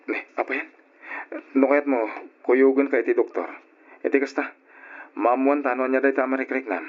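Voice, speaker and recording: An actor playing an excitable young husband and expectant father in an old radio drama. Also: mid-range voice, moderate pace, natural voice. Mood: concerned. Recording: dull, lo-fi radio drama recording.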